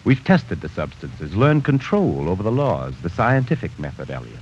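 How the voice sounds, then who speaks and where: A man's voice: deep voice, wildly swooping pitch, moderate pace, a little mellow, a little hiss or hum. An actor playing an arrogant, bookish man who scorns sentiment, in a 1940s radio drama. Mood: lecturing. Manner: haughty, condescending. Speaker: narrator reading his journal. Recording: muffled 1940s radio broadcast.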